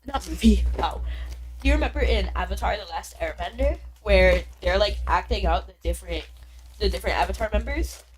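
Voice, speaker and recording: A young woman streaming a game and chatting casually with viewers, bubbly and chatty, a little congested from being sick. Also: medium pitch. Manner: bubbly, chatty, quick bursts of excitement. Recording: faint background game music.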